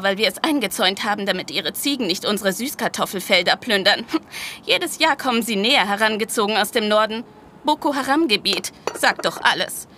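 An actress playing an agitated, outspoken housekeeper in a radio play, exclaiming and blunt. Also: female voice, medium pitch, slight background noise. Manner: excitable, exclaiming, outspoken and scornful. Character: agitated middle-aged woman, blunt and prejudiced.

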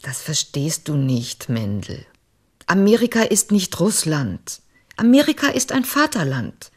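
A proud middle-aged wife and mother admonishes with emphasis. Her voice sits low but swings widely in pitch as she insists. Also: big pitch swings, a little slow, a little mellow, breathy edge, heavily acted. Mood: insistent, proud. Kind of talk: literary radio drama acting.

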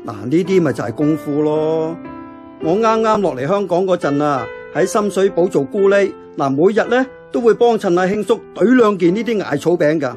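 A man's voice: high voice, lively intonation, moderate pace, darkish tone. A man playing a nostalgic, stubborn older uncle who runs a café in a radio drama, lecturing in homely talk with a Hong Kong accent. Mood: nostalgic, preachy. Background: plucked guitar music.

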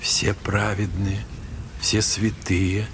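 An actor playing a gentle, wise old monastery elder in a Russian audio drama, speaking in a measured, consoling way. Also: male voice, lowish voice, level tone, background noise. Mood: serene, uplifted. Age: older.

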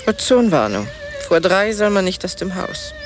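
Radio drama casting: sardonic older wife, extremely varied intonation, bitter and theatrical, music underneath. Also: female voice, mid-range voice, wildly swooping pitch, moderate pace. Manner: sardonic, theatrical, sharp retorts. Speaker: actress in a radio play. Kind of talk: radio play acting. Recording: studio radio play with music behind.

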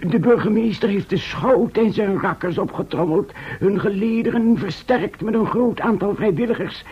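An actor playing an anxious, earnest medieval townsman with a husky voice, hesitant and urgent in a Dutch radio drama. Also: high-pitched, moderate pace. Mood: urgent, grave. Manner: hesitant, earnest, old-fashioned wording. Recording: muffled old radio play recording.

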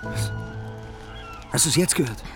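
A man's voice: sits high, wildly swooping pitch, a little slow, exaggerated voice. An actor playing a wary, defiant male hiker in a radio drama, speaking in terse, urgent phrases. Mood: alert, hushed. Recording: loud music and sound effects behind.

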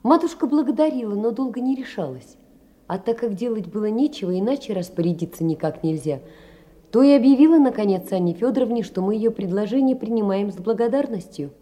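An actress playing a poor, devoted, anxious young woman in a theatrical audio drama, telling her story in an expressive, intimate way. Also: a little high, brisk, natural voice. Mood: reflective. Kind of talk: theatrical audio drama, reading letters and memoirs.

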